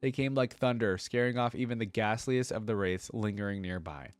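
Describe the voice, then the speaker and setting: A man's voice: fairly low pitch, a little fast, no echo. A man hosting a reaction video, reading a story aloud and adding casual commentary.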